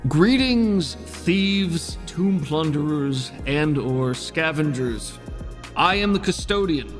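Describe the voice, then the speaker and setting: A man's voice: a little high, lively intonation, slow pace, music in the background, voice pushed up. A man voicing a hulking, haughty armored custodian in a tabletop game, making pompous formal proclamations. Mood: imperious, threatening. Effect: amplified voice, as narrated. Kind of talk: theatrical tabletop game villain.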